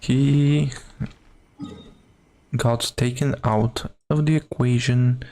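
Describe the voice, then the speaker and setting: A man's voice: mid-range voice, steady tone, drawn-out words, warm tone. A man doing casual gameplay commentary, thinking aloud in offhand fragments. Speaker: game streamer.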